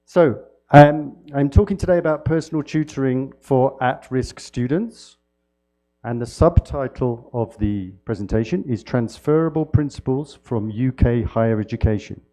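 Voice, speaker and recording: A man from the United Kingdom giving a university lecture as a guest speaker, with a loud voice and a friendly, halting delivery full of fillers and restarts. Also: medium pitch. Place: lecture hall. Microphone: handheld mic.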